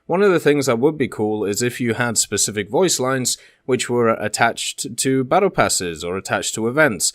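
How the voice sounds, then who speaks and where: A man's voice: medium pitch, lively intonation, normal speed, hardly any pauses, no echo, clean studio sound. A man hosting a gaming channel, giving casual, conversational and opinionated commentary as he reads and discusses questions and answers. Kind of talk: casual video commentary, reading and discussing developer Q&A.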